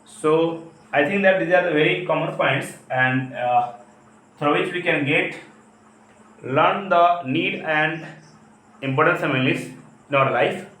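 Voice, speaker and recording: Indian guy teaching english, hindi native speaker, kinda high voice, halting and repeats himself, echoey room. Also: pushed and bright. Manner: repetitive, halting phrasing in non-native English. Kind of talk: spoken lesson, explanatory talk. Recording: echoey, roomy sound.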